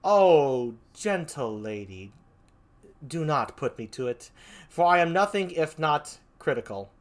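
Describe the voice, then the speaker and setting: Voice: medium pitch, very animated, slow. A performer reading a sardonic soldier husband in a teasing, biting Shakespearean stage manner. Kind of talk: amateur Shakespeare read-aloud.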